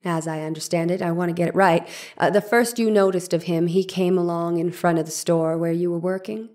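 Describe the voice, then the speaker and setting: A woman's voice: fairly low pitch, steady tone, fairly quick, a little mellow, natural voice. A woman reading the part of a persistent, exacting defense lawyer in a dramatized 1890s trial, questioning formally and methodically. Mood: insistent, probing.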